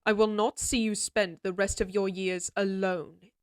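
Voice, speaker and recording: A woman's voice: mid-range voice, little pitch movement, a little slow, clean tone, no echo, close to an everyday voice. Woman playing middle-aged noble mother, stern, commanding, formal diction, fantasy roleplay, dry studio sound. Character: stern, imperious noble mother of a lady knight. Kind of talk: scripted fantasy audio roleplay acting.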